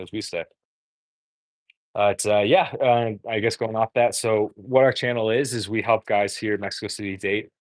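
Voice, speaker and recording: A man's voice: fairly low pitch, no echo. A man, chatting frankly while cooking on a livestream, full of fillers and restarts. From born in Korea, raised in the US. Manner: rambling, filler-heavy, frank. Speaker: dating coach host.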